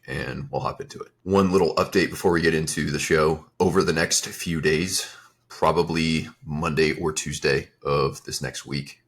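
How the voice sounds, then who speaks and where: A young adult man hosting his own fitness podcast, talking in a relaxed, rambling way with a slightly raspy, strained voice. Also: extremely low pitch. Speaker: podcast host and fitness coach. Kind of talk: casual solo podcast talk.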